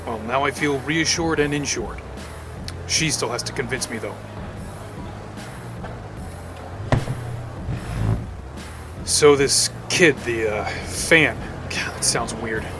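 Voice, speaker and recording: A man's voice: mid-range voice, measured pace, over music, lightly acted. A man playing a cool, sardonic male supervillain in an audio drama, with a dry, joking delivery. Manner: dry, wisecracking.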